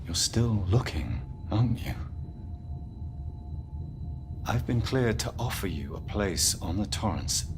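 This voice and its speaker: An actor playing a polite company executive in a video game, measured, courteous and gently persuasive. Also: male voice, fairly low pitch, big pitch swings, music in the background. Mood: calm, persuasive.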